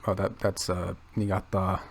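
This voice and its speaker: A man quietly thinking aloud in a gravelly low register, rambling with many restarts and fillers. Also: flat delivery, normal speed. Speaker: channel host. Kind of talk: casual rambling commentary.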